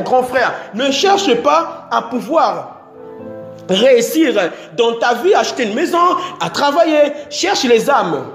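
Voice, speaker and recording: Man, sermon, high pitch, repeats phrases, echoey room. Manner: repetitive, exhorting, swings between loud and soft. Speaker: preacher. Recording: echoey room with faint music.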